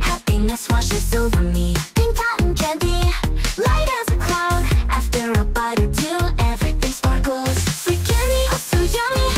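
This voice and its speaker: Very high female pop jingle voice. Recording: loud electronic pop backing.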